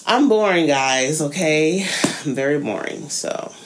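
A woman's voice: low-pitched, expressive, unhurried, background noise. A young woman co-hosting a podcast, chatting casually and teasing her husband, laid-back. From South Florida, Haitian parents. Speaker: podcast co-host. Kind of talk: casual chat.

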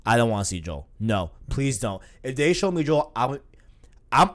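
Animated young man rambling on a podcast. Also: mid-range voice, moderate pace. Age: 20s. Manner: animated, rambling, lots of filler phrases. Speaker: podcast co-host. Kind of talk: casual gaming podcast chat.

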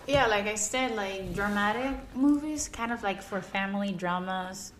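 A woman's voice: mid-range voice, moderate pace, slight background noise. A young woman with a Cuban accent gives casual, emphatic interview answers, peppering her speech with "like". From Cuba. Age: young adult. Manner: chatty, emphatic, frequent use of "like". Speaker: interview guest, aspiring actress and model.

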